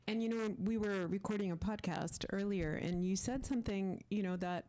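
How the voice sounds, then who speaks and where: A woman hosting an onstage interview, speaking conversationally and warmly agreeing with her guest. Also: medium pitch, moderate pace. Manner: conversational, agreeing with brief affirmations. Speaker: host, interviewer.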